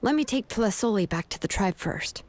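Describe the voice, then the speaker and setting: A woman voicing a laid-back, caring adult woman smith who speaks in a gentle, reassuring way. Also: medium pitch, lively intonation, normal speed, a little hiss or hum, close to an everyday voice. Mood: easygoing. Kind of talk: video game voice acting.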